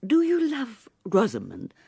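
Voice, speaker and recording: Lovesick british wife in a radio play, high creaky voice, swoops all over, overwrought. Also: female voice, huge pitch swings, warm tone, no room sound, studio quality, full character voice. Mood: pleading. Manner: overwrought, drawn-out emotional delivery. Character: lovesick, hurt married woman, pleading and spiteful. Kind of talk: British radio drama acting.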